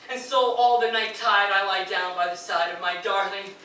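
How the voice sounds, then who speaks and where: Heard from off in a very echoey room, a male actor plays a tormented, grieving poet. His voice is high and forceful. He declaims verse and letters with nervous fervor and anguish, as in a live stage drama. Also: normal speed. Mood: yearning, fervent. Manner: fervent, declamatory, swelling into anguished outbursts. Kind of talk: theatrical dramatic reading of poetry and letters. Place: live performance in a radio station's performance room. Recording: very echoey live room, distant mic, faint noise.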